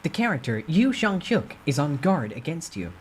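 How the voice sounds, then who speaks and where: A man narrating a first-person novel in a dramatic, expressive storytime ASMR style. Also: a little high, normal speed, slight background noise.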